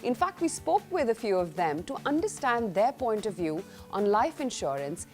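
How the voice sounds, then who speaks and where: A woman's voice: mid-range voice, very animated, a little mellow, faint music behind. A woman with an Indian English accent presenting a scripted business news segment as anchor. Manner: polished, measured anchor delivery.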